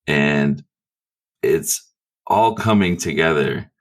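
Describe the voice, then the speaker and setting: Very deep, slow-talking man. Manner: slow, amused storytelling. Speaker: player. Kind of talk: playing a tabletop game.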